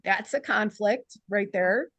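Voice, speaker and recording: A woman's voice: medium pitch, slow pace, fairly bright tone, no room sound. A woman actor sharing opinions on a script in a casual, conversational group discussion.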